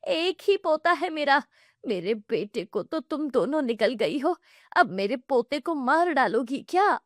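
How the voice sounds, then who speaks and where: A woman's voice: sits high, sing-song, a little slow, no room sound, clean studio sound. Woman voicing a harsh complaining mother-in-law. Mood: scolding. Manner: complaining, scolding.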